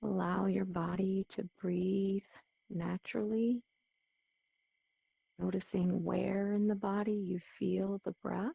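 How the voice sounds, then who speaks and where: Gravelly, slow-talking woman guiding meditation. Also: medium pitch, little pitch movement, no room sound, narrow, tinny audio. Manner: soothing, gentle, unhurried with pauses. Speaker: meditation teacher. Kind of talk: guided meditation.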